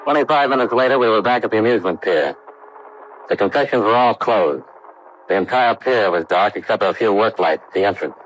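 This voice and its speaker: An actor as a clipped, hard-boiled American radio detective. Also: male voice, on the low side, brisk, slight background noise, lightly acted, rough recording. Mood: brisk, reflective. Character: brisk, hard-boiled insurance investigator narrating his case. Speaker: lead actor and narrator. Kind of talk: 1950s radio detective acting. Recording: vintage radio drama recording.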